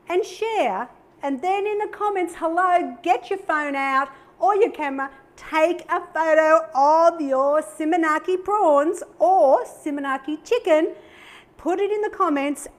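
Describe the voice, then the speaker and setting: A middle-aged Australian woman hosting a cooking show, chatting in a bubbly, upbeat way while she demonstrates a recipe. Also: high-pitched.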